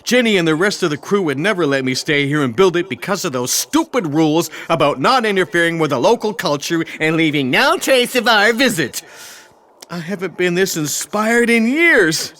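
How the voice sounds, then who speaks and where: A man's voice: sits high, expressive, normal speed, fairly bright tone. A man playing a listless, self-absorbed alien master builder in a comic sci-fi audio drama. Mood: musing, inspired. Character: listless, burned-out alien master builder, male, scheming dreamer. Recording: clear background noise and ambience.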